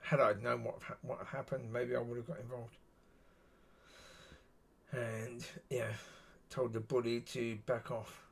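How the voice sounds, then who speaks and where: A man with a husky voice, talking alone in a rambling, musing way and often saying "yeah". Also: mid-range voice, flat delivery, unhurried. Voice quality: husky, gravelly. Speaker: solo video talker. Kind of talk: rambling solo monologue.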